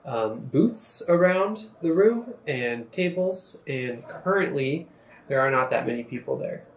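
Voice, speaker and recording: A man's voice: on the high side, measured pace. Man running a tabletop game, hesitant. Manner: relaxed, many ums and uhs. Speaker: game master. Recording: echoey room with faint noise.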